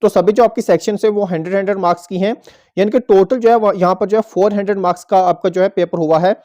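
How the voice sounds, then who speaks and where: A man's voice: sits high, steady tone, fast talker, warm tone. A man presenting an informational explainer to viewers, going step by step and repeating points as he goes. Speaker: host of an exam update channel.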